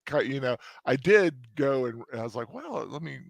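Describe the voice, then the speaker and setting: A man's voice: medium pitch, sing-song, normal speed, gravelly. A man co-hosting a casual review chat, teasing his friend in a playful way. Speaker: co-host.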